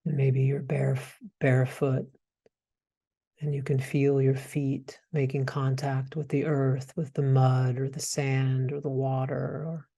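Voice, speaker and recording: A man guides a meditation in a dark, relaxed voice. His delivery is very slow and gentle, with soft pauses between hesitant phrases. The melody stays quite flat and even throughout. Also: mid-range voice.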